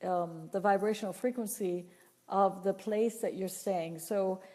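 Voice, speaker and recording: A woman voice teacher answering viewer questions live, talking in an unhurried, earnest way and often repeating or restarting her phrases. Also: mid-range voice, mellow, big-room sound. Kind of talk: live Q&A talk, reading chat questions.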